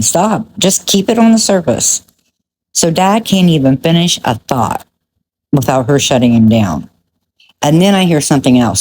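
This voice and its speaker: A woman with a low voice gives conversational true crime commentary. She is blunt and judgmental, leaning hard on her points. There is noticeable noise behind her. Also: mellow.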